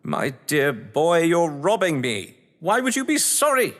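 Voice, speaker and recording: A man acts out a theatrical English lord of the manor who writes crime novels. He is high-pitched and swoops grandly as he gives pompous, arch orders. The audio drama rings with long hall reverb. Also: huge pitch swings, measured pace. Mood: exasperated, bossy.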